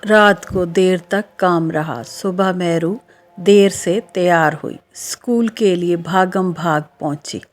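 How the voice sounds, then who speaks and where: Woman narrator, lower pitch, slow pace, scripted children's storytelling.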